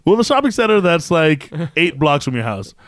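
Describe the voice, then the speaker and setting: Podcast co-host, male, jokey and rambling, pitch on the high side and very lively. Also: a little fast, a crowd in the background. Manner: joking, rambling, laughs often. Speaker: podcast co-host, band member. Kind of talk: casual chat. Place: podcast studio.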